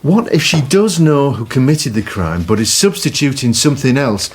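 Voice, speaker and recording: An actor playing Joe, a memory specialist who explains theories and coaxes a witness with calm questions. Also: male voice, normal speed, slight background noise, close to an everyday voice. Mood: explaining. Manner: calm, low, coaxing questioning. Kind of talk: radio drama acting.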